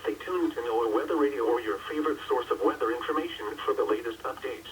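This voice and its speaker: Male announcer, mid-pitched, formal scripted weather service read, faint through a radio with noise. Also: fast. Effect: heard through a radio speaker. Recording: faint distant radio broadcast, background noise.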